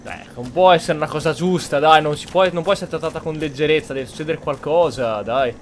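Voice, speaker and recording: A man's voice: fairly high pitch, fast talker, a little hiss or hum. An Italian man giving informal, opinionated commentary as he plays through a video game. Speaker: gaming streamer. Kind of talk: casual playthrough commentary. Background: faint game music.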